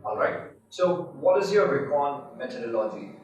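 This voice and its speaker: A man's voice: mid-range voice, very animated, moderate pace, a little mellow, highs cut off. A man interviewing a guest, asking brief, plain questions. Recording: very echoey, far from mic.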